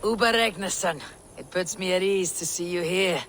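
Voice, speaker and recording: An actress playing a commanding, steady female Viking clan leader with a measured, authoritative delivery in a video game. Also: on the low side, a little hiss or hum, natural voice. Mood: warm. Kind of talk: dramatic video game acting.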